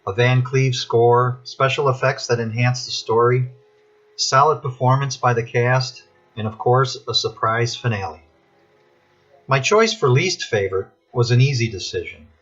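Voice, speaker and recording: An American man reads out prepared commentary as a podcast contributor. His voice is husky and mid-pitched, and the recording is dull and lo-fi. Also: a little slow, fairly bright tone. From Buffalo, New York, US.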